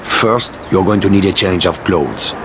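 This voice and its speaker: An actor playing a suave, fervent French resistance leader with a French accent and a commanding, theatrical manner in a video game. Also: male voice, sits low, a little slow, background noise. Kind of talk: video game cutscene acting.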